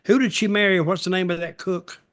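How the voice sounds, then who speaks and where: Older man, higher-pitched voice, halting chat. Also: steady tone. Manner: halting, repeats and restarts phrases. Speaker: podcast co-host and wrestling veteran. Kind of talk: casual chat, reminiscing and giving opinions.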